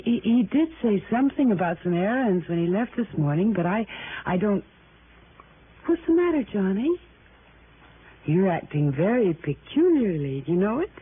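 A woman's voice: medium pitch, close to an everyday voice. An actress playing a talkative, evasive married woman in an American radio drama, with an American accent and a stammering, deflecting manner. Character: chatty, evasive married woman growing flustered under questioning. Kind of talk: mid-century American radio drama acting. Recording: noisy, narrow-band vintage radio recording.

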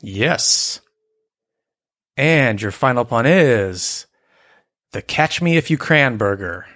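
A man co-hosting a podcast, relaxed and teasing in casual chat. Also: medium pitch, slow. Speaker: podcast co-host.

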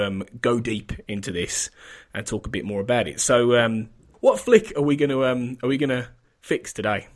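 Man, podcast host, sniffly, rambling, self-correcting, mid pitch. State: sniffly with an oncoming cold. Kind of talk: casual podcast chat.

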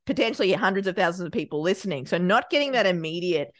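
Low-voiced chatty woman podcast guest. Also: quick pace, no room sound, studio quality. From Sri Lanka, raised in Australia. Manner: chatty, expressive, acts out quotes. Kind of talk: casual interview chat.

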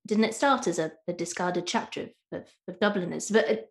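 A woman's voice: on the low side, a little fast. A woman hosting an interview, asking long questions with hesitant, stammering repetitions. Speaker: interviewer host. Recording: echoey room.

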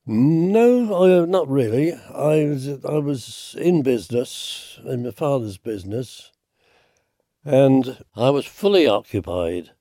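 A hoarse man in his nineties, a war veteran, reminiscing in an interview with halting, unhurried speech. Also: mid-range voice, animated, mellow, no echo, studio quality. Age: 90s. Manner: halting, unhurried, restarts often.

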